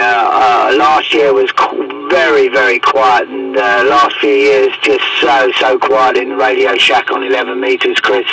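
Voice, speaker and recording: A man's voice: mid-range voice, creaky. A man chats casually as an amateur operator over a radio transmission, with hesitant, repetitive phrasing. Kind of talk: casual radio contact chat. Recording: very noisy, distant radio transmission.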